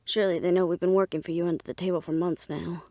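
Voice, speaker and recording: A woman acting a guarded, businesslike plotter in an audio roleplay drama, speaking in a measured, serious way. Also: lowish voice, level tone, dark tone, no echo, studio quality, close to an everyday voice. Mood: earnest, conspiratorial.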